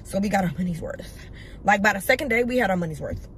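A woman's voice: medium pitch, expressive, fast, fairly bright tone. Young woman, lifestyle vlogger, easy talkative delivery, slight background hum. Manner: chatty, addresses viewers as y'all. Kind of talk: casual chat to camera. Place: home, then shopping in a store.

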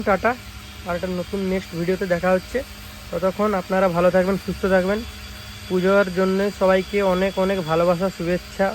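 A man with a West Bengal Bengali accent talks quickly in a high voice, like a shop owner showing off goods on his channel. He stresses and repeats his points, often checking that viewers follow. The phone recording has a noticeable hum and hiss behind him. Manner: repetitive, emphatic sales talk with frequent check-in tags. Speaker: speaker repair shop owner and video host. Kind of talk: casual product showcase vlog. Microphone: phone camera. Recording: noisy, engine-like hum and hiss.

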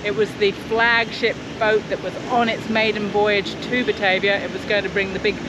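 A woman's voice: medium pitch, little pitch movement, moderate pace. A woman in her forties giving casual travel commentary outdoors as a vlogger. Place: outdoors on streets and at marina. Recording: noisy outdoor recording with street and vehicle sounds.

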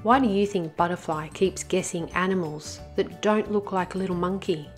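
A woman's voice: on the low side, normal speed, music in the background. A woman storyteller presenting a picture book read-aloud to young children, addressing them directly.

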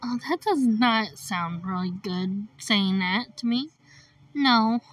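A man voicing an excitable, panicky character in an improvised video game roleplay. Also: sits high, slow pace, dry recording, recorded from a distance, voice pushed up, exaggerated voice, rough recording. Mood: uneasy.

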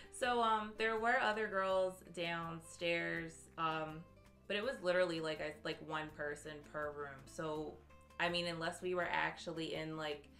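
A woman's voice: low-pitched, vocal fry, sharp tone. A young woman talking casually to the camera in a filler-heavy, chatty way, recorded at a desk in a small room. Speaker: vlogger. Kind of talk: casual direct-to-camera review. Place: small indoor room with shelves.

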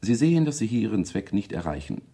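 A sober commentator in a literary radio play. His voice is very deep with a husky grain. He tells things in measured, literary sentences. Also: sing-song, warm tone.

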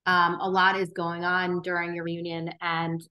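A woman guest on a podcast, talking earnestly and thoughtfully with frequent pauses and restarts. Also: lowish voice, monotone, sharp tone. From Romania. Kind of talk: reflective interview conversation.

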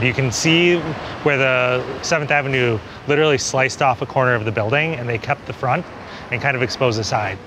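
A man in his forties, an architect, giving an on-camera walking tour outdoors, explaining buildings in a conversational way with many small hedges. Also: medium pitch. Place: outdoors on a city street. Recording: city street noise behind.

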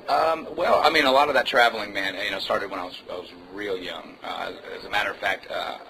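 A male musician talks in a relaxed, rambling way, his voice sitting a little low. Clear room noise from a music club runs under his words. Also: fairly quick. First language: Indonesian. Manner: relaxed, rambling, many fillers and "man". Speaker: musician, interview guest. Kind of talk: casual interview chat.

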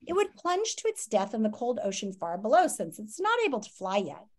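A woman's voice: mid-range voice, sing-song, fairly quick. A woman reads a children's nonfiction book aloud like a teacher, expressively and dramatically, with asides and questions to her listeners. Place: small room at home.

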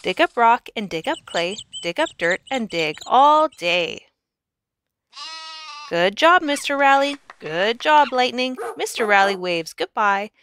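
A woman reading a children's picture book aloud in an expressive, animated storyteller's way with emphatic phrasing. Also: fairly high pitch, unhurried, slight background noise. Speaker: read-aloud narrator.

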